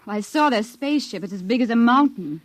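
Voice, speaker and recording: A woman's voice: a little high, lively intonation, a little fast, dry recording. An actress playing an earnest, distressed but determined woman captive in a 1950s radio drama. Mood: shaken. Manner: anxious, earnest, questioning. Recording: dull vintage 1950s radio recording.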